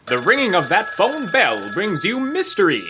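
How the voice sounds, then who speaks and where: An actor playing a dramatic announcer of an old time radio mystery, theatrical and declamatory, with noise behind him. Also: male voice, high voice, very animated, moderate pace. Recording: clear background noise.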